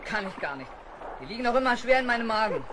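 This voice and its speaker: A disgruntled deckhand in a radio drama. He grumbles quickly and bitterly over clear shipboard noise. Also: very high voice, very animated. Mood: bitter, sarcastic. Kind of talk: German radio play acting.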